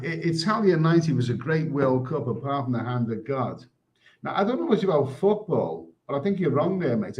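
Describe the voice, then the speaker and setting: Man, live stream host, crude, rambling, prone to stammering repeats, mid-pitched. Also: darkish tone, lo-fi sound. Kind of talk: casual livestream chat, reading comments.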